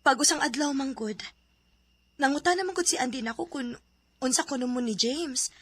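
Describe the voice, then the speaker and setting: A woman's voice: high voice, moderate pace, a bit bright. A woman playing a chatty schoolgirl best friend in a radio drama, with a Cebuano Filipino accent. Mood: anxious.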